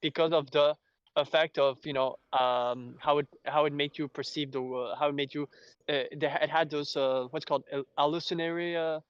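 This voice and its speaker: A man from Belgium, a native French speaker with an accent to match, hosting a casual fan discussion in a rambling, halting way. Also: a little high, steady tone, clear, resonant tone, no echo, muffled recording. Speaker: host.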